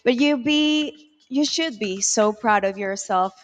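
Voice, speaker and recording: A woman co-hosting a live pageant, speaking English as an in a deliberate, encouraging way. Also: on the high side. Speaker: pageant co-host. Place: large arena stage.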